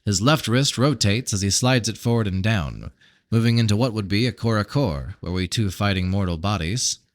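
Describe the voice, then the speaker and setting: A man narrates a fantasy novel in a dramatic, measured way. His voice sits on the low side, and the sound is dry and close, with very little room in it. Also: dry recording. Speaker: audiobook reader.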